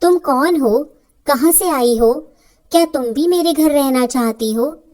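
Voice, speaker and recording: A woman's voice: high voice, measured pace, a little mellow, pitched above the performer's own voice. A woman voicing a kind, curious young female animal lover in a children's story.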